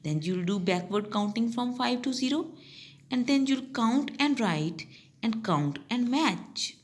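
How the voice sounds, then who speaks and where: Slow, sing-song woman teacher. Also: on the high side, animated. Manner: slow, sing-song and enthusiastic, spelling words out letter by letter. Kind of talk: explaining a summer task guideline to young pupils.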